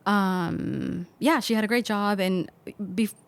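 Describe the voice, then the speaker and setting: A woman's voice: mid-range voice, slow, vocal fry, dry recording. A woman from Mexico, a podcast guest, speaking thoughtfully in casual conversation with many fillers. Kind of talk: conversational storytelling.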